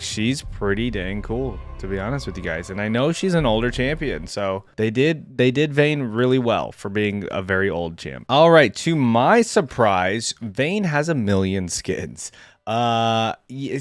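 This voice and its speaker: Male reaction host: casual gamer talk, animated intonation, close dry mic, slangy and unscripted. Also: medium pitch, no room sound. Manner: informal, slangy, thinking aloud. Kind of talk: casual off-the-cuff gaming commentary.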